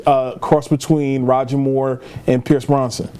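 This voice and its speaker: A man's voice: medium pitch, flat delivery, a little slow, warm tone, a little hiss or hum. A man co-hosting a geek news podcast, talking casually and chattily.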